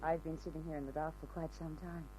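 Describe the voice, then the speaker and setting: A man's voice: a little high, flat delivery, fast, a little mellow, close to an everyday voice. A performer playing a cool, self-possessed mystery woman in an old radio crime drama, teasing and in control. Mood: cool, controlled. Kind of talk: 1940s-50s radio drama acting. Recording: noisy old radio recording.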